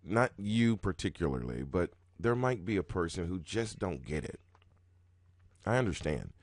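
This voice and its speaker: A man hosting a roulette strategy video, talking casually in a low, groggy morning voice, rambling with many restarts and sudden jumps in pitch and loudness. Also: sing-song, normal speed, no echo, studio quality. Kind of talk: casual talking-head explanation.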